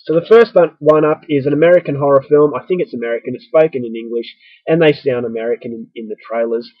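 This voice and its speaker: A man's voice: medium pitch, quick pace, no echo. A man with an Australian accent talks to camera in a loose, joking vlog, his voice a little stuffy as if he is getting a cold. The sound is dry and slightly dull, like a modest home recording. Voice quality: chatty, self-mocking, rambling. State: stuffy with early flu. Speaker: vlogger. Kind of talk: casual vlog talking to camera.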